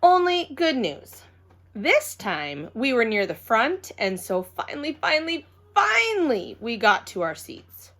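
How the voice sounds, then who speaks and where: A woman's voice: on the high side, sing-song, a little slow, a bit bright. A woman reading scripted children's narration in a childlike, emphatic way with dramatic phrasing. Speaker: storybook reader.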